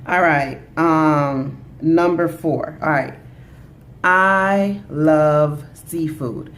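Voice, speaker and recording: A woman's voice: low-pitched, extremely slow. A woman in her thirties from Jamaica, vlogging in a chatty, upbeat way to her viewers, with many "you know"s. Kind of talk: casual talk to camera.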